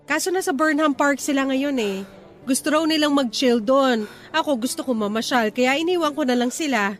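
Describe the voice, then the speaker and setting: An actress playing a cheerful, sociable office woman meeting her high school sweetheart in a Filipino radio drama, with a Filipino accent. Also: moderate pace, soft background music, natural voice. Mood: chatty, relaxed.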